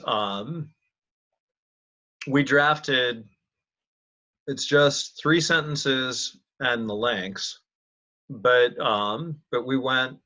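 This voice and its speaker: A man leads a casual online work meeting at a slow pace, thinking aloud and halting mid-sentence. His voice has an average pitch. The conference-call audio is dull and lo-fi. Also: pushed and bright. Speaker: meeting leader presenting slides.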